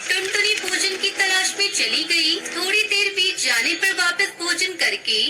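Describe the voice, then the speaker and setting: A woman's voice: very high voice, unhurried, background noise. A woman narrating a scripted children's cartoon story. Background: music.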